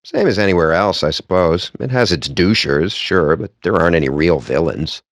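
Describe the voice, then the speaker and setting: A smug, wry gamer man trading banter in a video game. His voice sits fairly low and moves with lively, expressive intonation. He is sardonic and verbose, relishing ornate words, and the sound is close and dry. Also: normal speed, no room sound. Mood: sly, chatty. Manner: sardonic, wordy, theatrically articulate.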